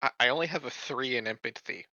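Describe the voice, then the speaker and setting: A man's voice: medium pitch, fast talker, bright, forceful tone, no room sound. A man chatting and joking as a player in a tabletop game, on a slightly dull recording. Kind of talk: casual joking chat.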